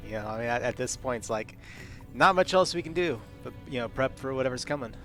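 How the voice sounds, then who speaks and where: A man's voice: mid-range voice, lively intonation, fast, sharp tone, soft background music. A man chatting casually as a player in a tabletop roleplaying game, in a hesitant, conversational way.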